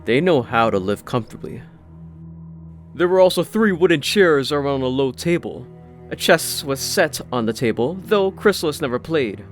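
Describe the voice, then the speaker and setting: A man narrating a scripted fantasy story, expressive, with wry asides. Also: medium pitch, normal speed. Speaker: audiobook narrator. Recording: faint music behind the voice.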